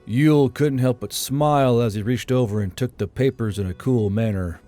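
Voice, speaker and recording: A man's voice: medium pitch, normal speed. A man reading a scripted fantasy story aloud as an audiobook narrator. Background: soft background music.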